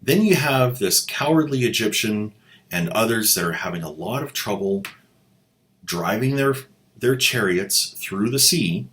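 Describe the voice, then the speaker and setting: A man's voice: fairly low pitch, lively intonation, measured pace, a bit bright, a little hiss or hum. A man hosting a channel, giving sarcastic commentary with wry, mocking asides. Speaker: host.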